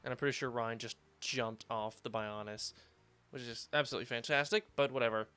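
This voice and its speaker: A slightly hoarse man hosting a video game playthrough, chatting casually with lots of fillers while standing at his home setup. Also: medium pitch, steady tone, a little fast, sharp tone, faint music behind. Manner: rambling, filler-heavy, upbeat. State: slightly hoarse after surgery. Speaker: let's play host. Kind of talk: casual gameplay commentary.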